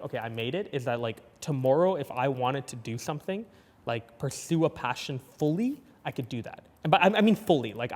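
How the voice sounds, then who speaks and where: A young man with a lisp, talking casually as a guest in an interview, eager and quick with his replies. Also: medium pitch, big-room sound. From Canada. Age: young adult. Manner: quick, eager, frequent "yep" and "yeah" backchannels. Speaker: guest, entrepreneur.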